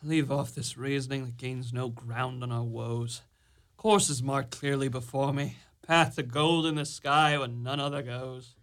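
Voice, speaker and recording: Woman playing low-voiced bold young lad. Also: fairly even intonation, unhurried. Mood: defiant. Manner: florid, archaic storybook diction. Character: bold, wily young English thief Jack, a boy. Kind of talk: theatrical radio play acting.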